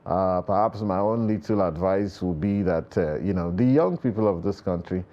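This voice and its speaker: A Nigerian man hosting a studio talk show, lively and animated, with many short backchannel replies. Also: deep voice, normal speed, dull audio. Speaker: TV show host.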